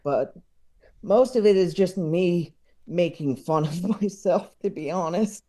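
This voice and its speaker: Male podcast guest, unhurried and mellow, rambling and self-deprecating in casual conversation. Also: high-pitched. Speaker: podcast guest, comedy writer and filmmaker. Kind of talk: casual interview chat.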